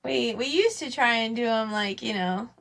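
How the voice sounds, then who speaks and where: A woman with a fairly high-pitched voice talks casually as a podcast co-host. She rambles gently, thinking aloud as she builds each idea. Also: normal speed, creaky. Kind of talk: casual podcast chat about family devotions.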